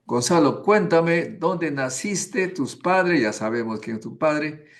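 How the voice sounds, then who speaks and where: A man's voice: a little high, lively intonation, slow pace. Chatty Peruvian male host. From Peru. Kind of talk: interview.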